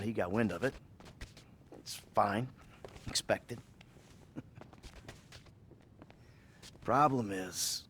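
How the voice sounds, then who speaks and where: An actor playing a weary, defensive middle-aged ex-criminal who talks bluntly and swears, in a video game cutscene. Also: male voice, lowish voice, fairly bright tone, slight background noise. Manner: blunt, profane, self-mocking. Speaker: therapy patient.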